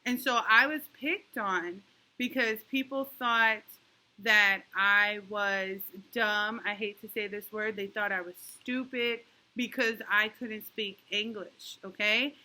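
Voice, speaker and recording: A woman teaching a young class online and checking often that the children follow, speaking slowly and patiently. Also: mid-range voice, steady tone, bright, forceful tone, low-quality recording. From New York. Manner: patient, encouraging, talks slowly to kids with many "okay?" checks. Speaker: elementary school teacher.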